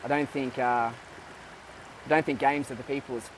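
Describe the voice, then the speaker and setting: Soft-spoken young man talking from afar. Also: mid-range voice, steady tone, recorded from a distance, noisy background. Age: 20s. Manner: thoughtful, halting, many fillers and restarts. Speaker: guest. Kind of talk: casual interview.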